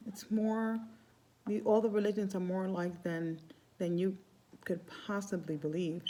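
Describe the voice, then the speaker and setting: Rambling woman with a mellow low voice. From Cuba. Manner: warm, rambling, restarts phrases often. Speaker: Santeria priestess. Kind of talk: casual spiritual vlog talk.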